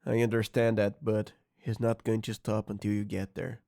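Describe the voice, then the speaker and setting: An actor in an audio drama playing a dutiful, insistent subordinate with a respectful, flat manner. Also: male voice, lowish voice, normal speed, vocal fry, no room sound, studio quality. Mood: earnest, deferential.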